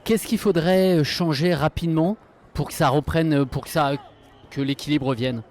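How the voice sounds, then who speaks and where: A man asking informal questions as a street interviewer, halting and rephrasing as he goes. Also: a little high, a little mellow. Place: outdoors in the street at a demonstration. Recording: faint street noise.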